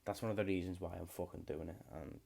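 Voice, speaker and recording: A man's voice: low voice, steady tone, fast talker, creaky, warm tone. A man in his late twenties, hosting a podcast alone in a rambling, swear-heavy casual chat. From United Kingdom. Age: late 20s. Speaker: podcast host.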